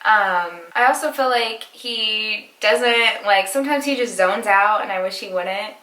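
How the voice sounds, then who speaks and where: A woman's voice: mid-range voice, unhurried, bright, forceful tone. A young woman from the United States chatting casually with her husband on her vlog, rambling and laughing often. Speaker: vlogger. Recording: echoey room.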